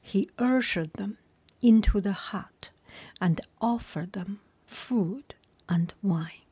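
A woman whispering a storybook aloud in ASMR style and pausing for chatty asides to the listener. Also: mid-range voice, warm tone, husky.

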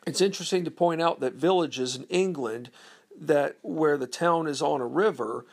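A man with a slightly high voice talks through a history lesson off the cuff. He hesitates often, filling gaps with ums and uhs. He poses questions to his listeners and then answers them. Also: normal speed, vocal fry. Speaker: history presenter. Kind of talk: unscripted explanatory talk.

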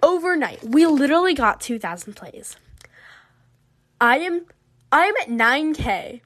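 A woman's voice: high voice, unhurried. Chatty Southern American woman rambling. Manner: rambling, giggly, animated, lots of fillers. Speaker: podcast host. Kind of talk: casual solo chat while drawing.

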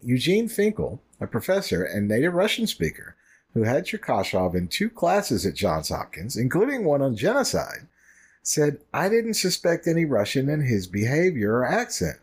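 A man's voice: medium pitch, huge pitch swings, normal speed, husky. A man co-hosting a podcast, chatting casually with dry, wry remarks. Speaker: podcast co-host.

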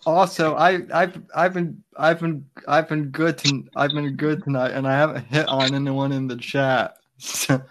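A man's voice: a little high, fairly even intonation, unhurried, few pauses, background noise. A young man hosting a casual livestream, stumbling and repeating his words as he starts sentences. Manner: hesitant, repeats his words, reads chat. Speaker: livestream host.

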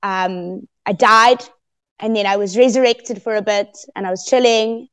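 A woman guest on a podcast interview, telling her story expressively and loudly, with laughs here and there. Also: medium pitch, steady tone, moderate pace, clear, resonant tone. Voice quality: very loud voice. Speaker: guest, eliminated contestant.

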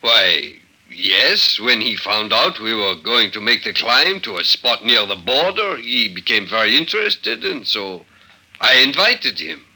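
A defensive mountain guide in a vintage radio drama. His voice is very sharp and husky with lively swings of pitch, and he speaks in hesitant, touchy bursts. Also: unhurried, exaggerated voice, poor audio. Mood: uneasy, defensive. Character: defensive, quick-tempered male mountain guide. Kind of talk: old-time radio drama acting.

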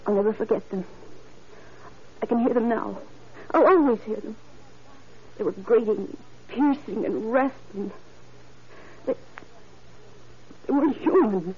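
A woman playing a shaken young murder witness in an old-time radio drama, halting, haunted and dramatic. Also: on the high side, close to an everyday voice. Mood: haunted dread. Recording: dull, noisy old radio recording.

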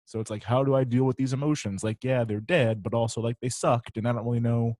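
A man in his late thirties co-hosting a podcast, relaxed and laid-back, swearing casually as he chats. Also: medium pitch, quick pace, dry recording, studio quality. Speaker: podcast co-host.

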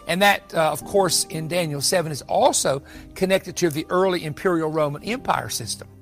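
A man's voice: fairly high pitch, normal speed, gravelly, soft background music. A man preaching in a Bible-teaching style, explaining things emphatically and restating his points as he goes. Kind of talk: sermon-style Bible teaching, partly off the cuff.

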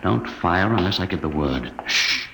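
Deep, commanding spaceship captain, noisy old recording. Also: male voice, lively intonation, measured pace, vocal fry, full character voice. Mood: commanding, alert. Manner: clipped, authoritative orders. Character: commanding male spaceship captain, terse and wary. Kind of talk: old-time radio drama acting. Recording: noisy vintage radio recording.

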